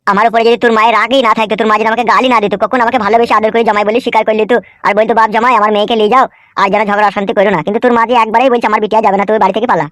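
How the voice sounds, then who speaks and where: A man voicing a lovesick, foul-mouthed young husband in a comedy cartoon, high-pitched and melodramatic, pleading and grumbling. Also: level tone, racing, a bit bright, no echo. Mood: aggrieved, ranting. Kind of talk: amateur comedy cartoon dubbing. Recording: music under the voice.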